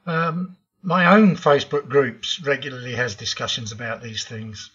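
An older man talks through his slides with blunt opinions and plenty of ums. His voice is bright and edgy but very husky. The voice-over sounds muffled and lo-fi. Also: medium pitch, fairly even intonation, normal speed. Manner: opinionated, frank, with frequent ums. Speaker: programming tutorial host. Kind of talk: narrated slide lesson.

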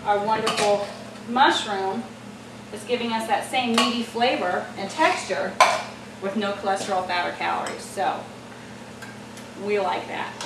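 American female cooking host, higher-pitched, chatty and instructive, heard a little way from the mic in a kitchen. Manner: chatty, instructive, addresses viewers directly. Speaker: cooking show host and chef. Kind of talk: casual cooking demonstration. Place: home-style kitchen at the stove, indoors. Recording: roomy, distant mic, loud kitchen noise. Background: sizzling pan, clattering pots and stirring.

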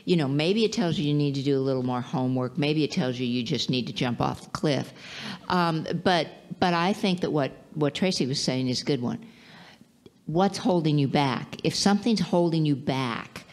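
A woman's voice: low voice, normal speed. A woman entrepreneur answering on a stage panel in a large auditorium, talking with many restarts and fillers.

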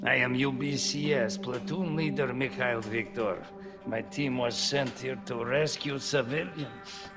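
An actor playing a weary older male mercenary platoon leader, speaking gravely. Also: medium pitch, loud music behind the voice, natural voice. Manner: slow, grave and measured. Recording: game cutscene with loud score and long reverb.

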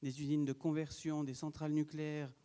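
This is a man, speaking to a conference audience. He presses his points hard and with emphasis. His voice is higher than most men's and sounds dark. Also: little pitch movement, fairly quick. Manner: insistent, emphatic. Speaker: panel speaker from a radiation monitoring group. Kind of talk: public talk in a debate.

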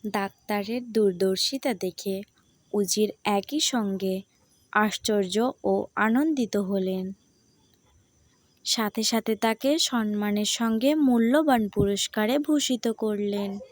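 A woman narrates a scripted tale as a storyteller. She speaks slowly and deliberately at a middle pitch, and the recording is dry and close. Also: dry recording.

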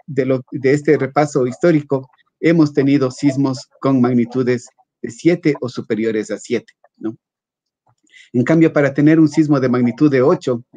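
A middle-aged man explains like a scientist on a panel. He speaks haltingly, doubling back on words and tagging phrases with a question. The sound is a dry, slightly dull video-call recording. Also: mid-range voice, mellow, no room sound. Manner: halting, repeats words, frequent questioning "¿no?" tags. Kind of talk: explanatory academic panel talk.

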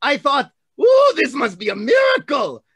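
A middle-aged man voicing an excitable, big-hearted dinosaur hand puppet, telling a children's story with theatrical delivery. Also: very high-pitched, unhurried, no echo, shouting, exaggerated voice. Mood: earnest storytelling. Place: room at home. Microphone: video call, computer mic.

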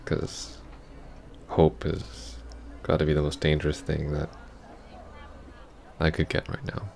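A man playing a heartbroken, earnest ex-boyfriend in an intimate ASMR roleplay, speaking haltingly and sometimes dropping to a near whisper. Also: very deep voice, completely flat delivery, darkish tone, a little hiss or hum, full character voice. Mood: nervous, hopeful. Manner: halting, hesitant, breathy half-whispers. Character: heartbroken, earnest young ex-boyfriend pleading for closure.

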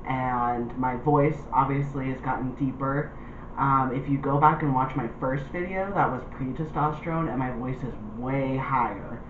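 A young adult man talking casually to camera as a vlogger. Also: medium pitch. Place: small indoor room. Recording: thin phone-like sound, roomy, clear noise.

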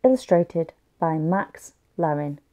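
A woman's voice: low-pitched, animated, slow, dark tone. A woman reading a picture book aloud expressively and carefully for young children. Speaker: children's story reader.

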